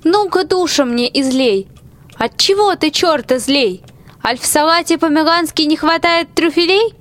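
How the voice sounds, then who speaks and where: Actress as devoted young wife, high pitch, resourceful, rhymed radio drama. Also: female voice, normal speed, fairly bright tone. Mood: concerned, commanding. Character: caring, resourceful young wife with magic helpers. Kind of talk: fairy-tale radio play.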